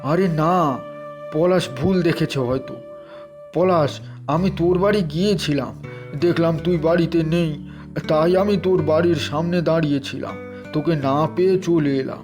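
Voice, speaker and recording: Man playing old village beggar, sly, pleading, somewhat high voice, cartoon story, prominent music. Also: unhurried, darkish tone, lightly acted. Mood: evasive. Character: sly old rich village man who begs in disguise. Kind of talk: Bengali cartoon story dialogue. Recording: music behind the voice.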